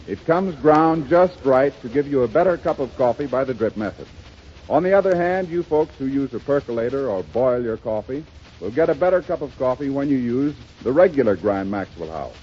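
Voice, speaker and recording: An American man working as a radio announcer, delivering a formal, emphatic 1930s sponsor pitch. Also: warm tone. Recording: lo-fi 1930s radio, faint noise.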